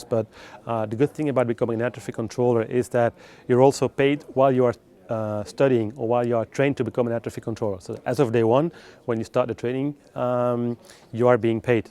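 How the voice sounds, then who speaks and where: A man giving explanatory interview answers as a recruitment specialist, with measured, hesitant phrasing in non-native English. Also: medium pitch, darkish tone.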